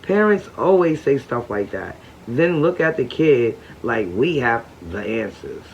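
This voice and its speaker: An adult reading a novel aloud at home slowly and carefully, with small stumbles. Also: mid-range voice, sing-song, vocal fry, highs cut off, slight background noise. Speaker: read-aloud reader and reading coach.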